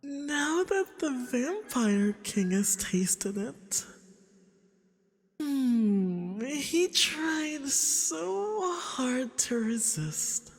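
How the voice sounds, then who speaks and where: Woman as male femboy vampire, ASMR roleplay, doting and possessive, cooing menace, lilting swoops, breathy, long echo. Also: high-pitched, big pitch swings, exaggerated voice. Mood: sensual, gloating. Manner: slow, cooing, teasing pet-name talk with breathy murmurs.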